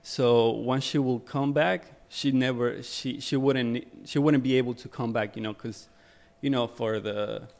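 A man's voice: mid-range voice, fairly even intonation, measured pace, gravelly, hardly any pauses, a little mellow. A young man from Ecuador, a guest on a podcast, talking casually about his photography career with many fillers and repeated words.

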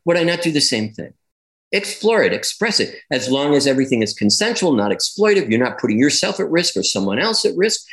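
Expressive man explaining with rising animation. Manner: expressive and animated, rising in pitch as he builds his points; reflective, with repeated phrases and restarts. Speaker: guest, clinician and educator on gender. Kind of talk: conversational interview answer.

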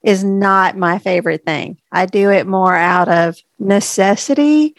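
A woman's voice: medium pitch, no room sound. A woman co-hosting a podcast as a dietitian, talking casually and a little stuffy. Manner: relaxed, chatty, drawn-out fillers. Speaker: podcast co-host, registered dietitian. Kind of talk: casual chat.

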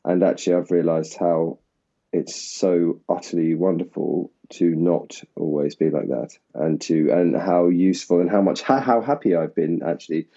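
A man from Essex, an actor, talking at length and laughing at himself in a relaxed interview. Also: low voice, moderate pace. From England (Essex). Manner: rambling, self-deprecating, laughs often. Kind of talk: casual interview conversation. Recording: dull lo-fi sound.

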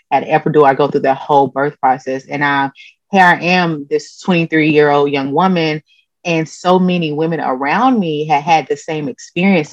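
A woman therapist with a low-register, soothing voice, answering interview questions calmly and openly. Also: no echo. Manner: calm, open, laughs often. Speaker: therapist guest. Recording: dull lo-fi dry sound.

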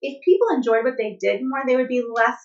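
A woman's voice: fairly high pitch, fairly even intonation, quick pace, a little mellow. A woman co-hosting a podcast, encouraging her guest and asking long, layered questions. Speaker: podcast co-host. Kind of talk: interview hosting. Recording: dull lo-fi podcast sound.

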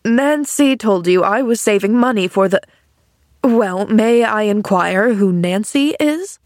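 A woman voicing a grumpy, bitter man in an audiobook, speaking in a gruff, testy and sarcastic way. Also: medium pitch, animated, a little slow, darkish tone, dry recording, studio quality, close to an everyday voice. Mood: baffled, sharp. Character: grumpy, sardonic, bedridden man with a bitter temper. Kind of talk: audiobook character dialogue.

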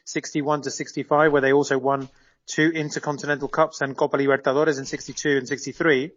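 A man's voice: mid-range voice, flat delivery, fairly quick, fairly bright tone, muffled recording. A man serving as a correspondent in a live radio interview, explaining at length with frequent fillers and restarts.

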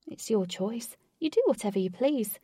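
A friendly young heroine who coaxes and reassures others gently, as a woman puts her on. Her voice is soft and encouraging, in a plain storybook reading. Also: a little high, lively intonation, moderate pace, husky, no room sound, studio quality, pitched above the performer's own voice. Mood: kind.

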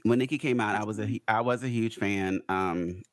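A man co-hosting a casual pop culture chat, talking in an animated, rambling way. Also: fairly low pitch, no echo, clean studio sound. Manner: animated, rambling, quick to rant. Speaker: podcast co-host.

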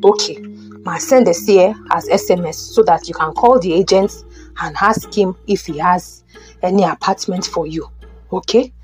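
A woman's voice: fairly low pitch, normal speed, a little mellow, faint music behind, lightly acted. A woman with a Nigerian accent playing a busy, helpful older acquaintance in an audio drama. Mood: businesslike, helpful. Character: busy, helpful senior woman giving practical advice. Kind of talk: audio drama acting, Yoruba-English phone conversation.

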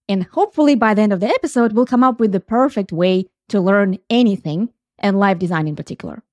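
A woman's voice: mid-range voice, a little mellow, no room sound, clean studio sound. A middle-aged woman from Ukraine, hosting a reflective solo podcast in an earnest, explanatory way. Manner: earnest, explanatory, thinks aloud with restarts. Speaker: podcast host.

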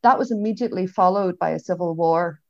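A woman with a Derry, Northern Irish accent speaking as a historian on a panel, in a reflective, measured and hesitant way. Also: fairly low pitch, no echo. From Derry, Northern Ireland. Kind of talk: panel discussion. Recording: dull, lo-fi online audio.

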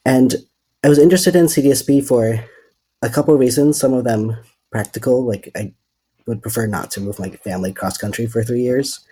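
A man's voice: mid-range voice, a little fast, mellow, husky, low-quality recording. A man from the Netherlands, a seminary student, answering interview questions thoughtfully and hesitantly with many fillers.

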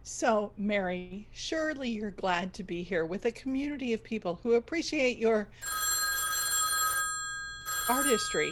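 Actress as an earnest, animated radio station manager. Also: female voice, medium pitch, measured pace, pauses often, a little hiss or hum, lightly acted. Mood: polite, eager. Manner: polite, officious, placating. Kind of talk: comedy audio drama acting.

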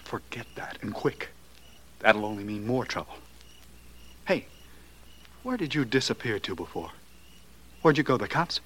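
An actor playing a desperate young man in debt in a 1940s radio drama, agitated and halting. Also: medium pitch, very animated, a bit bright. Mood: edgy, scared. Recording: noisy old radio recording.

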